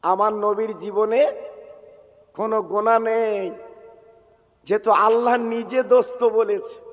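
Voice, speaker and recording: High-pitched man preaching in long reverb. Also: fairly even intonation. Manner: plaintive, drawn-out exhortation with repeated calls to listeners. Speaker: Islamic preacher. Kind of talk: emotional storytelling sermon, partly chanted.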